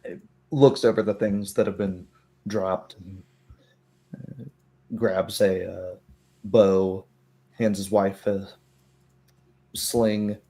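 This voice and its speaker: A man running a tabletop game as game master, improvising narration in a halting, thoughtful way. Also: low voice, fairly even intonation, dark tone.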